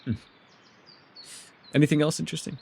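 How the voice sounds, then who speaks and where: A man playing a mysterious, soft-spoken elf with a gently teasing, secretive way, in an immersive ASMR roleplay with hesitant, tender asides. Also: fairly high pitch, little pitch movement, a little fast, creaky. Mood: playful teasing.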